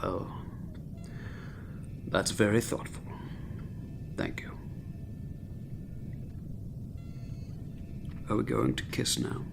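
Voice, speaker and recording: An actor playing an arrogant, sardonic middle-aged chief medical officer who drinks, with pompous, biting wit, in a sci-fi comedy audio drama. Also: male voice, lowish voice, lively intonation, slow, vocal fry, background noise, full character voice. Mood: dryly teasing. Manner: biting, droll sarcasm with pompous wording.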